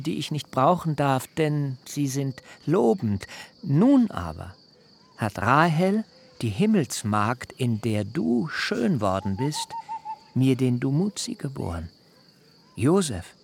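Ein Schauspieler spielt in einem literarischen Hörspiel einen alternden, beharrlichen Hirten, der getragen und in altertümlichen Wendungen spricht. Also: male voice, fairly high pitch, creaky, a little hiss or hum, heavily acted. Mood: resolute. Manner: measured, solemn, archaic phrasing. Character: aging herdsman, long-serving nephew, deliberate and insistent. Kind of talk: literary radio drama acting.

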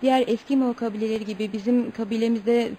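A woman's voice: a little high, fairly even intonation, quick pace, mellow, close to an everyday voice. An actress in a Turkish radio play voicing a young Eskimo girl, formal and polite, proud and eager in a naive way. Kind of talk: Turkish radio theatre acting. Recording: noisy radio play recording.

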